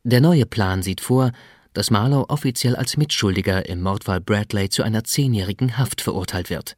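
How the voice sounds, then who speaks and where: Low-pitched man narrating, close and dry. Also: moderate pace, dry recording. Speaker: radio drama narrator and announcer. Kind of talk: scripted narration.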